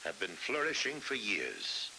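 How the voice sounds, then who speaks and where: A middle-aged man narrating a scripted science documentary in a measured, dramatic way, with weighty pauses. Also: on the low side, huge pitch swings, creaky. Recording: hissy old film soundtrack.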